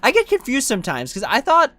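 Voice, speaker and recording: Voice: high-pitched, wildly swooping pitch, brisk, pushed and bright. A game streamer giving casual live commentary in an informal, self-questioning way. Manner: informal, self-questioning, often ends with "right?".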